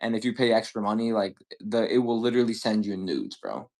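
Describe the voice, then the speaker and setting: A man's voice: on the low side, lively intonation, a little fast, a little mellow. A man in his twenties chatting casually and profanely while selling on a livestream, rambling with lots of slang. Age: 20s. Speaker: livestream seller.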